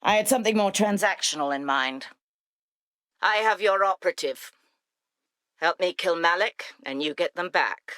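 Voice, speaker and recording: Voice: medium pitch, moderate pace, long pauses, bright, forceful tone, no echo, clean studio sound, natural voice. An actor playing a calculating intelligence operative in a video game, controlled and businesslike. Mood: cool, calculating. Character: cool, calculating intelligence operative and team leader. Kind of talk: scripted game dialogue.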